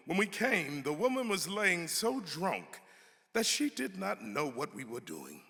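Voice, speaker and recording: A man's voice: mid-range voice, sharp tone. A man giving a dramatic reading of a formal historical text in an emphatic, oratorical way. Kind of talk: dramatic reading of an 18th-century pamphlet. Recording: long hall reverb.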